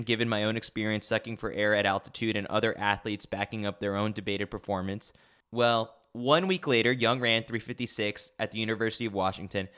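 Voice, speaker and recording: A man narrates a scripted sports newsletter. His voice is bright and forward, with a smooth, crisp tone. The delivery is wry and clearly enunciated. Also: mid-range voice. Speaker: host reading a track and field newsletter.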